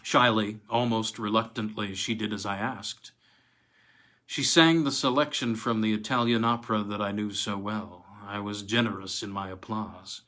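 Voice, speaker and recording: A man's voice: lowish voice, fairly even intonation, moderate pace, sharp tone. A man reading aloud as a story narrator, in a dramatic scripted style suited to old pulp horror fiction. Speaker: story reader.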